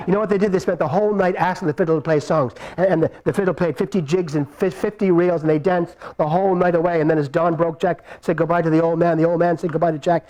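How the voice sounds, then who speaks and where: A man telling a folk tale aloud in a live puppet show, with lively, repetitive phrasing. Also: a little high, low-quality recording. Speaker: storyteller.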